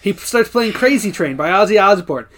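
A man's voice: sits high, animated, moderate pace, fairly bright tone. A man co-hosting a podcast, recapping episodes in a loose, profane way and laughing often. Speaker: podcast co-host. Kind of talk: casual recap chat.

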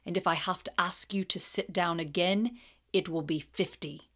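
A woman voicing a cold, sarcastic male teacher who speaks slowly, quietly and with silky menace. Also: fairly low pitch, fairly even intonation. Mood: cold, menacing. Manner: slow, quiet, icy and sarcastic.

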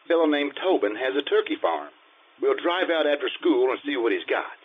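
A man's voice: mid-range voice, animated, fairly quick, lightly acted. A man playing an earnest, naive, mild-mannered fellow in an old-time radio sitcom, with an eager, guileless delivery. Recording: tinny, noisy radio-style recording.

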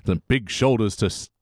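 Man, podcast host, very animated intonation, jokey casual chat, dry close recording. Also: medium pitch, husky, no room sound. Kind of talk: casual comedic review chat.

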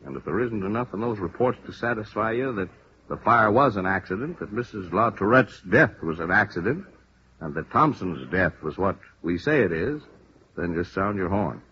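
An actor playing a businesslike American police lieutenant in a vintage radio drama, frank, firm, deliberate and emphatic. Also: male voice, fairly low pitch, expressive, bright, forceful tone. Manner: deliberate, emphatic, matter-of-fact. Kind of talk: 1950s radio drama acting. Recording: muffled, hissy old radio recording.

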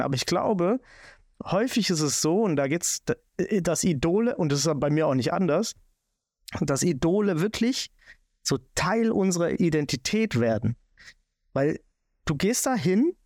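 A man's voice: a little high, darkish tone, dry recording, studio quality. A man with a Swabian German accent, hosting a casual podcast talk and rambling with many restarts. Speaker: podcast host.

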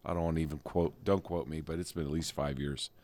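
A man's voice: very deep voice, fairly even intonation, fast, darkish tone. A middle-aged man co-hosting a podcast, telling fond, rambling stories in casual chat. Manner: rambling storyteller, fond and nostalgic. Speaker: podcast co-host.

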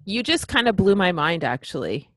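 Chatty, low-voiced woman podcast host. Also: normal speed, dry recording, studio quality. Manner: chatty, excitable, swears casually. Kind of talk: casual interview chat.

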